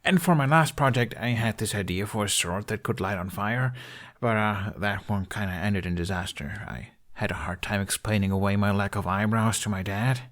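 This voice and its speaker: A man voicing an awkward young prince who tinkers, hesitant and deadpan, in a story reading. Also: fairly low pitch, moderate pace, natural voice. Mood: enthusiastic, halting.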